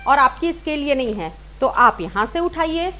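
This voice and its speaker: A woman's voice: sits high, lively intonation, normal speed, over loud music. A woman giving an informal singing lesson, explaining step by step with repeated, rephrased points and English words mixed in. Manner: mixes English words into talk. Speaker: singing teacher. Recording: clear background hiss and noise.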